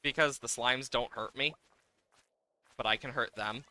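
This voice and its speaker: A man's voice: medium pitch, a little slow, pushed and bright. A man giving casual livestream game commentary, playfully exasperated, swearing and exclaiming at the game as he plays.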